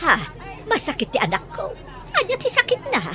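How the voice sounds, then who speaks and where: A woman's voice: very high voice, wildly swooping pitch, fairly quick, crowd noise, exaggerated voice. A woman playing an anxious, devoted old mother in an audio drama, pleading plaintively with an Ilocano Filipino accent.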